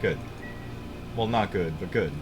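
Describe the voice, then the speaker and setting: A man's voice: low voice, measured pace, lo-fi sound, music in the background. A young American man casually chatting while playing a game on a live stream, rambling, swearing and restarting his sentences. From United States. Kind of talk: casual gaming stream chat.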